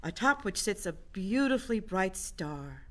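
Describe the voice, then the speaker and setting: A woman narrator with a middle-pitched voice tells a scripted story. She speaks in a measured, storybook manner suited to radio drama. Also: sing-song.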